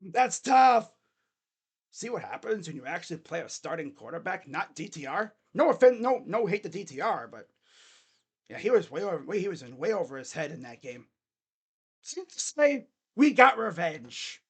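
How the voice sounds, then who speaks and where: A man recapping a game for his fan channel, his voice swinging up and down with lots of energy. His gravelly tone is wearing hoarse. He trash-talks the rival team and laughs along the way. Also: high-pitched, normal speed. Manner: animated, trash-talking, laughs often. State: voice getting hoarse. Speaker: sports fan channel host.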